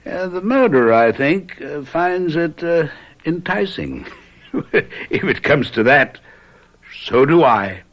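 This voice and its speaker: An actor playing a confident, theatrical detective in a radio mystery drama, speaking in a deliberate, emphatic, urbane way. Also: male voice, on the high side, big pitch swings, a bit bright, slight background noise, heavily acted. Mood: assured, sly. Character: confident, theatrical male detective lecturing his companions.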